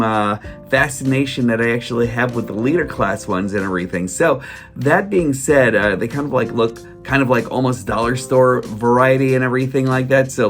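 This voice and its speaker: Hoarse man rambling in a casual vlog. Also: medium pitch, fairly bright tone. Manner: rambling, filler-heavy, many restarts. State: hoarse from a cold. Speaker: toy collector vlogger. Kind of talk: casual solo vlog chat.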